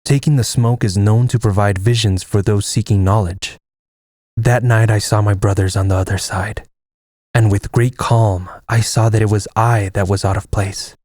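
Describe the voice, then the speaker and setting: A man playing a stoic, fatalistic Navajo army air force lieutenant in an audio comic, solemn and measured. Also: fairly low pitch, fairly even intonation, darkish tone, no room sound, studio quality, close to an everyday voice. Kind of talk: dramatic first-person narration and dialogue in an audio comic.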